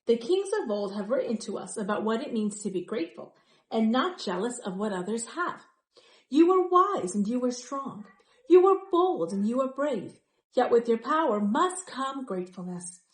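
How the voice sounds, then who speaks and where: Woman voicing an earnest, high-pitched storybook animal scribe. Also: lively intonation, normal speed, echoey room, lightly acted. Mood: proclaiming. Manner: declamatory, preachy, emphatic. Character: earnest animal scribe, boldly admonishing a proud king. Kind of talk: children's storybook character voice.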